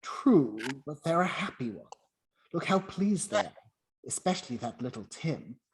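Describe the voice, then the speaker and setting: An old miser, humbled and earnest, speaks out in projected radio play style acted by a man. His pitch sits a little raised as he questions what he is shown. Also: big pitch swings, normal speed. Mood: observing, softened.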